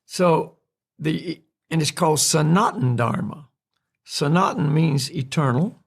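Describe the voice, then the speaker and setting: An American man from North Carolina, a podcast host calmly explaining spiritual ideas in a reflective, unhurried way. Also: a little high, gravelly, husky. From North Carolina, USA. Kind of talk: spiritual discussion.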